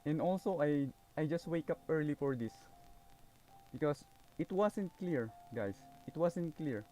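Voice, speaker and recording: A man livestreaming a game, chatting casually and informally in a halting, repetitive way. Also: on the high side, dark tone, faint music behind, poor audio. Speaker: game streamer.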